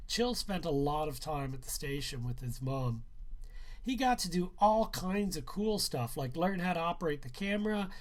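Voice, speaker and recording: A man reading a first-person story aloud as its author, in a measured, expressive storytelling way. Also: high voice. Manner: measured, expressive, acts out dialogue. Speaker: author reading own book. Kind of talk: narrated live book reading, first-person teen story.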